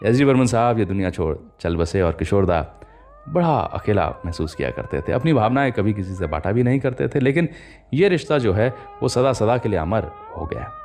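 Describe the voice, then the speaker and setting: A man's voice: fairly low pitch, very animated, normal speed, a little mellow. A man hosting a radio show, telling anecdotes in a storytelling manner and voicing the quoted exchanges. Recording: music playing behind the voice. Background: music.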